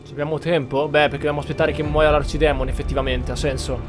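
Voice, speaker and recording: An Italian man giving casual game commentary, thinking out loud as he plays. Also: fairly high pitch, little pitch movement, rapid-fire. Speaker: gameplay host. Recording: game music behind the voice.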